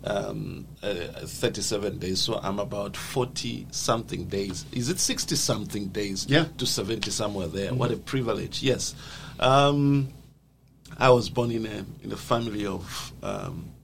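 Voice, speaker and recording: A man's voice: on the low side, normal speed, gravelly. A man with a Zambian accent, earnest and thoughtful as he tells his life story in an interview. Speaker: guest. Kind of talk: personal life story in a conversation. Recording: noisy background.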